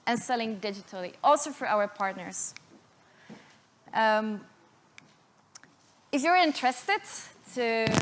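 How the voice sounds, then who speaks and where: Polish-born woman pitching her startup. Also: a bit bright. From Poland. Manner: hesitant, many uh and um fillers. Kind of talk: investor pitch and Q&A.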